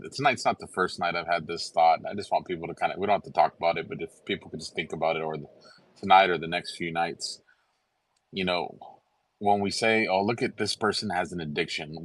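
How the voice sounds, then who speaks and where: A man's voice: sits low, dry recording. A man speaking thoughtfully on a live chat, with hesitant restarts. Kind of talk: casual chat.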